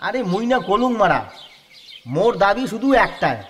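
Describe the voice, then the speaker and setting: Guy doing an earnest kamtapuri village suitor, high voice, stubborn. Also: animated, moderate pace, fairly bright tone, a little hiss or hum. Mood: insistent. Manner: insistent, earnest. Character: eager young village groom's friend insisting he wants only the bride. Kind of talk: rural village radio play acting.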